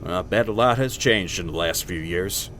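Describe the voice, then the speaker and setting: A man playing a steady aircraft captain in an audio drama, dry and matter-of-fact with his crew. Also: low voice, moderate pace. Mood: conversational. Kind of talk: naturalistic audio drama acting. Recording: faint aircraft engine noise.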